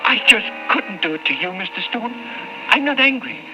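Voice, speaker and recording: An actor playing a timid, guilt-ridden old man in an old-time radio drama, halting, anxious and pleading. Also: very high voice, lively intonation, music in the background. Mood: apologetic. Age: older. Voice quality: halting, stammering. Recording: muffled, noisy old-time radio recording.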